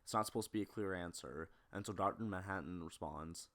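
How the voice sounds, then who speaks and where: A man's voice: low-pitched, brisk, fairly bright tone. A man delivering a video essay on film, speaking bluntly and opinionatedly with casual swearing. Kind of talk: film analysis commentary with casual ranting.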